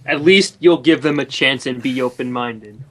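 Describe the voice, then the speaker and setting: A man giving casual, critical commentary as a guest, with a halting delivery. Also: medium pitch.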